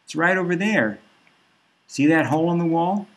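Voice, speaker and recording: A man's voice: animated, normal speed. A man voicing a pushy middle-aged male arms dealer who talks up his wares in a hard sell. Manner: hard-selling, upbeat pitch.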